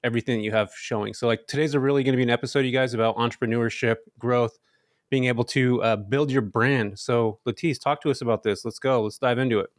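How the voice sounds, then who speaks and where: A man's voice: medium pitch, fairly even intonation, fast talker, dry recording, clean studio sound. A man co-hosting a podcast, chatting casually and hyping up the guest with enthusiasm. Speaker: podcast co-host.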